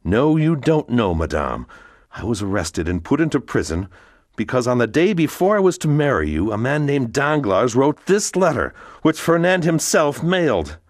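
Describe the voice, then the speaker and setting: A man's voice: medium pitch, big pitch swings, moderate pace, no room sound, clean studio sound, voice pushed up. A man voicing a cold, vengeful middle-aged nobleman who speaks in a stern, bitter, accusing way.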